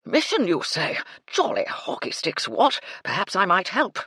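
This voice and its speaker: A man's voice: high voice, huge pitch swings, a little slow, creaky, pushed and bright, slightly rough, dry recording, clean studio sound, voice pushed up, exaggerated voice. A woman voicing a doddering, eager old British colonel in a storybook, with blustery, clipped upper-class military speech. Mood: eager, gallant. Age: older.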